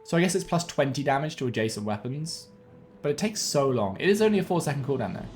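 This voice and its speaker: A young man gives relaxed gameplay commentary from his home setup, sounding a little sniffly and bunged up. He thinks aloud and corrects himself as he goes, his voice at an ordinary pitch, with faint game music under him. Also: fast. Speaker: game streamer. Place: home room with webcam.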